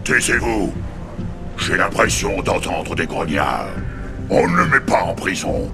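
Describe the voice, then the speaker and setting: A man playing a brutish, contemptuous alien warrior chieftain in a video game, curt and commanding, with a gravelly, forceful voice. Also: sits low, big pitch swings, unhurried, music in the background, heavily acted. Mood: scornful command. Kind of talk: dramatic video game cutscene acting.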